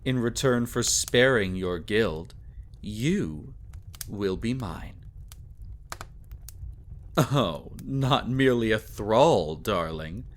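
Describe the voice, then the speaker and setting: A man playing a sadistic, possessive vampire lord in a dramatic roleplay monologue, theatrical and taunting, with silky condescension and drawn-out phrasing. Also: on the low side, animated. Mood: possessive, seductive.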